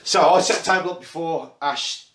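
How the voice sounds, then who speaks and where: A man from Ireland with a Birmingham accent, casually hosting a tabletop game night and explaining the rules in a halting, self-deprecating way with plenty of fillers. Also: on the high side, a bit bright, slight background noise. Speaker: host and game runner. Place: indoor room at a gaming table. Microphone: phone. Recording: echoey room on phone mic.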